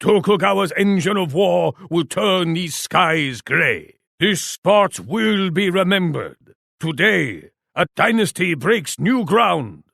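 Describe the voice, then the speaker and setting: An actor playing a proud, imperious Japanese warlord in a video game, speaking formally. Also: male voice, high-pitched, lively intonation, takes it slowly, gravelly, few pauses, fairly bright tone, dry recording, studio quality, exaggerated voice. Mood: grim, resolute. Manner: imperious, formal, speaks of himself in third person. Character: proud, haughty Japanese warlord commanding his armies. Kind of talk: video game character voice lines.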